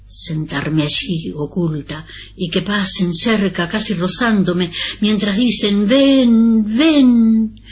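Woman reciting a poem slowly. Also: medium pitch. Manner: slow, expressive recitation. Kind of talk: poetry reading.

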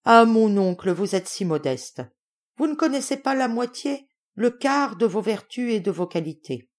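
Woman acting out a domineering, gushing noblewoman, high-pitched. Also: sing-song, normal speed, a little mellow. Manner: imperious, effusive. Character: domineering, gushing middle-aged Russian noblewoman, scolding and flattering.

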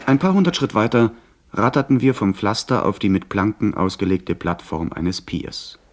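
An actor playing a young gentleman who narrates an adventure tale in a radio play. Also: lowish voice, moderate pace, lightly acted. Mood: tense storytelling. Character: young gentleman narrator recounting his abduction by pirates. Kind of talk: scripted dramatic narration, 1970s radio play.

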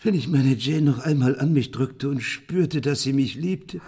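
An actor playing a blind, brooding, commanding gentleman broken by misfortune in a German radio play, with a husky, breathy, intense delivery. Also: mid-range voice, a little slow. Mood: overwhelmed, yearning. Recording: studio radio play with music.

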